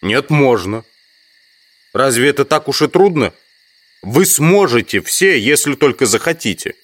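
An actor playing an educated, sceptical outsider in an audio drama, speaking in measured, literary phrases. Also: male voice, mid-range voice, lively intonation, a bit bright, dry recording. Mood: challenging. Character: educated man, reflective, sceptical outsider trapped in sand village. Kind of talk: audio drama acting with first-person narration.

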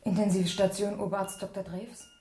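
Mellow, dry-mannered woman doctor played by an actress. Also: medium pitch, level tone, brisk, a little hiss or hum, close to an everyday voice. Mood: matter-of-fact. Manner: dry, matter-of-fact, probing. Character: brisk, dry, inquisitive female senior hospital doctor. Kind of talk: German radio crime drama acting.

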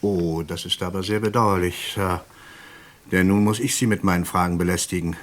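An actor playing a courteous but persistent, suspicious British police inspector in a radio play, speaking formally and with polite insistence. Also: male voice, low-pitched, fairly even intonation, moderate pace, close to an everyday voice. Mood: politely insistent. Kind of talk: German radio play dialogue.

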